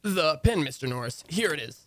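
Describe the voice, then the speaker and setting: A man's voice: fairly high pitch, animated, fairly quick, background noise, close to an everyday voice. A man playing a wry, long-suffering husband and office worker in an old-time radio comedy, dry and wisecracking. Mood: eager, polite.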